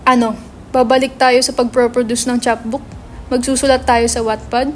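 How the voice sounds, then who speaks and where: A woman's voice: a little high, flat delivery, normal speed, a little hiss or hum, close to an everyday voice. A woman playing a brash, foul-mouthed young male writer in a dramatic script reading, slangy and insistent. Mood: argumentative.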